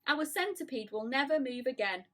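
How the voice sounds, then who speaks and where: A woman voicing a gloomy male earthworm in a storybook, eagerly and brightly relishing morbid ideas. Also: high voice, animated, normal speed, voice pushed up. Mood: gleefully gloomy.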